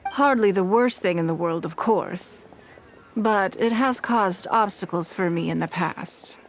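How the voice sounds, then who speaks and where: A woman playing a composed, shrewd and wealthy businesswoman in a video game, speaking in a measured, formal way. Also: medium pitch, a little hiss or hum, close to an everyday voice. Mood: reflective, composed. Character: poised, shrewd, wealthy businesswoman of high standing. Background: background music.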